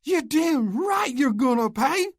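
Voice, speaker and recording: A man's voice: very high voice, sing-song, takes it slowly, no room sound, clean studio sound, voice pushed up, exaggerated voice. A man voicing a big desperate outlaw who roars in rage and grief. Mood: raging. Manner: roaring, anguished, breaking into whimpers. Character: big desperate male outlaw, grieving and raging.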